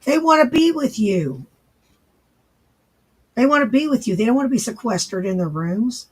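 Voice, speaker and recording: A man with a strikingly high voice, husky and worn from laryngitis. He talks in a loose, rambling, folksy way, swearing freely as he chats to the camera. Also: lively intonation, normal speed, poor audio. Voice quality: raspy. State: recovering from laryngitis, hoarse. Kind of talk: casual vlog reply.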